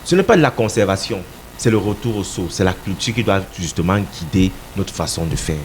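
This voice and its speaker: A man speaks in a fairly deep register, pressing his points with insistence as he answers an interviewer. He sometimes stumbles and repeats words as he searches for the next one. A faint hiss sits under his voice. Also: animated, brisk. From Cameroon. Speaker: political party representative.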